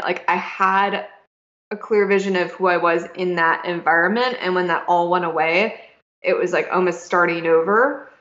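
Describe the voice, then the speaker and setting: A young adult woman hosting a podcast, musing in a thoughtful, halting way with many fillers and restarts. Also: on the low side, fairly bright tone. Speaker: podcast host. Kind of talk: reflective casual conversation. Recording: echoey, dull lo-fi room sound.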